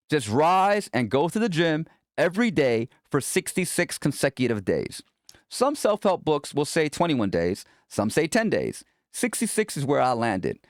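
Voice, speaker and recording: A man's voice: fairly high pitch, no room sound, clean studio sound. A man reading his own self-help book aloud in a conversational, motivational way, speaking directly to the listener. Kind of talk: scripted self-help audiobook narration.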